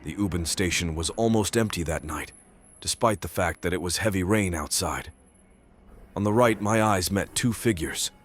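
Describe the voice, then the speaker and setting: A man playing a brooding stalker who narrates an audio drama in deliberate, detached, literary phrases. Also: sits low, slight background noise, lightly acted. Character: brooding male stalker narrating his nightly watch. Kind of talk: scripted first-person narration, audio drama.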